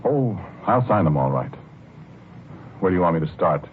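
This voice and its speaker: Low-voiced, tormented American confessor, vintage radio. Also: male voice, sing-song, slight background noise. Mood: weary, resigned. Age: 40s. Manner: halting, weary, polite but insistent. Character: tormented 41-year-old college man insisting on his innocence. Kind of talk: 1940s radio drama acting. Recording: old muffled radio recording with organ music.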